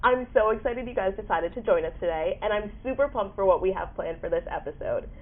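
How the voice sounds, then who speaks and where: A woman's voice: medium pitch, expressive, quick pace. A young woman hosting a podcast in an effusive, peppy manner, moving between prepared introductions and casual interview talk. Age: young adult. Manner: effusive, peppy, frequent backchannels. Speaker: podcast host. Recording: muffled phone-like, radio-like sound.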